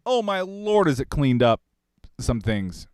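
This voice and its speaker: A man's voice: medium pitch, big pitch swings, measured pace, a little mellow, smooth, dry recording. A man from Kansas hosting a casual chat about wiring a recording studio, rambling and restarting his questions with chuckles. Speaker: podcast host. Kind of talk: casual studio shop talk.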